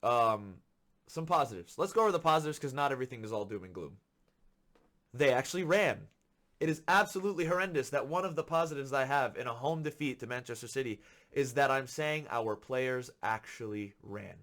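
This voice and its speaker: Guy ranting about his football team on his podcast, kinda hoarse cause he's sick, keeps repeating himself for emphasis. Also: mid-range voice, expressive, brisk, a bit bright. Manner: frustrated, ranting, emphatic repetition. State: slightly hoarse from illness. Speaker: podcast host. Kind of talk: solo football commentary rant.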